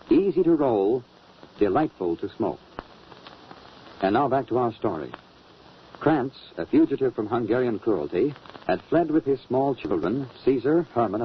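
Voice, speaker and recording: Male 1940s radio announcer, very husky, animated, emphatic scripted delivery, muffled sound. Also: mid-range voice. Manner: polished, dramatic, emphatic. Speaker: announcer, narrator. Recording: muffled old radio recording.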